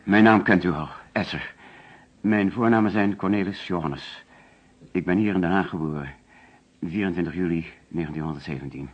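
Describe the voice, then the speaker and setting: A middle-aged male suspect in a radio crime play, very deep and husky. He is a defensive, hesitant businessman on edge, heard through a dull old recording. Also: moderate pace. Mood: curt, guarded. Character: agitated, defensive middle-aged businessman under police questioning. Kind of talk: radio drama acting.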